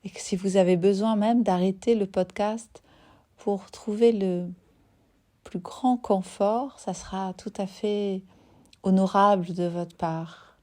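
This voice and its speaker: Slow, mellow-voiced woman guiding meditation. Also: medium pitch, fairly even intonation. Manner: soothing, unhurried, with long pauses between short phrases. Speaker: yoga nidra teacher guiding a relaxation. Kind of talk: guided meditation.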